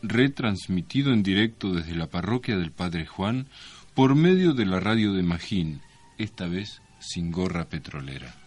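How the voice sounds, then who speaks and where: A man's voice: deep voice, big pitch swings, measured pace. A man with an Argentine accent bantering casually as a radio co-host.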